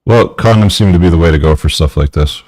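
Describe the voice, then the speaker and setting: A man's voice: low-pitched, quick pace, darkish tone. A man hosting a live call-in advice show, giving blunt, casual advice with frequent ums while wearing headphones. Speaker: podcast host.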